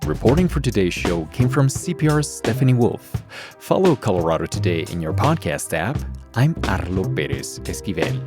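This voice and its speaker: A man's voice: sits low, big pitch swings, normal speed, over music. A man hosting a news podcast, moving between scripted narration and questions to a reporter.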